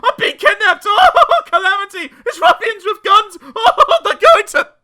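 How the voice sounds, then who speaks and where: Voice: extremely high pitch, sing-song, a little slow, sharp tone, voice pushed up, heavily acted. A storybook reader voicing a tiny, nervous male character who is melodramatic and easily terrified, with a shrieking, stammering delivery. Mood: hysterical terror.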